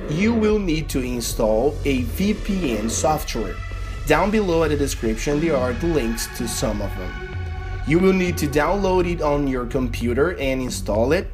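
A young man from Brazil with a Brazilian Portuguese accent, narrating a game tutorial in an earnest, slightly halting way. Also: on the high side. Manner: earnest, slightly halting non-native delivery. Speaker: gaming video creator.

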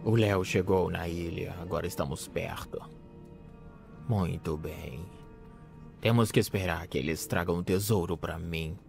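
A scheming pirate boss from an animated film. The voice is low and full of gravel, grand and menacing, over clear film music. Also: male voice, unhurried. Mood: smug, menacing. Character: scheming, self-assured male pirate boss. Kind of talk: dubbed animated film acting.

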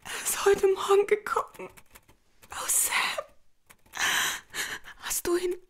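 An actress playing a clinging, pleading widow in love, halting and breathy, in a German radio crime drama. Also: female voice, extremely high pitch, little pitch movement, whispered, heavily acted. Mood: tearful, breathy. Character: clinging, pleading, melodramatic newly widowed woman lover.

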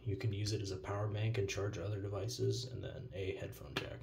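A man's voice: on the low side, little pitch movement, brisk, vocal fry, a little mellow. An American man giving a casual, offhand product demonstration as a reviewer, recorded indoors at a countertop. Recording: noticeable noise, handling clicks.

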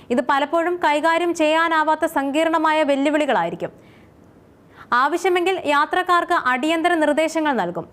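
A woman reading a scripted explainer as its narrator. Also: sits high.

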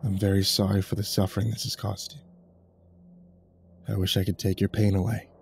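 A man voicing a weary, remorseful, devout older killer in a story, with a raspy, murmuring, halting delivery. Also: lowish voice, fairly even intonation, dark tone, a little hiss or hum. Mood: sorrowful, tired. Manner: slow, exhausted, halting. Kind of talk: dramatic story character voice. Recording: background music under the voice.

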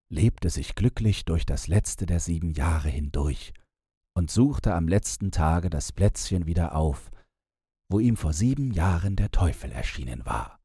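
A man's voice: deep voice, mellow, husky, dry recording, clean studio sound. A man narrating a fairy tale as a scripted bedtime audiobook.

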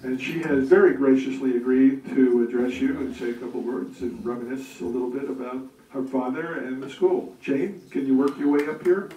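A man's voice: medium pitch, fairly even intonation, normal speed, hardly any pauses, warm tone. An older man moderating a panel at a community event, speaking in a genial, chatty way into a handheld mic. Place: community meeting room, audience seated. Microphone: handheld mic, heard from afar. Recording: roomy, distant mic with crowd.

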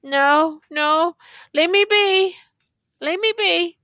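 A woman's voice: very high voice, drawn-out words, bright, forceful tone, no echo, voice pushed up, full character voice. A woman voicing a dying tall male soldier who begs in a rustic drawl, then stubbornly refuses help. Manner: rustic drawling dialect, begging.

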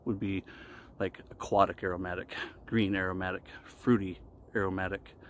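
A low-pitched man talks casually to a camera as he explains things in a vlog. His phrasing is deliberate and halting. He is recorded outdoors in a wooded clearing, with some ambient noise around him. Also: flat delivery. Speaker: vlogger reviewing shaving products. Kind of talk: casual explanatory talk to camera while shaving.

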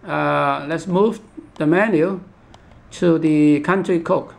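Halting high-pitched man giving a tutorial. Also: steady tone, gravelly. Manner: halting, repetitive, instructional, with imperfect English grammar. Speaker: product demonstrator. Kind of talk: unscripted step-by-step product tutorial.

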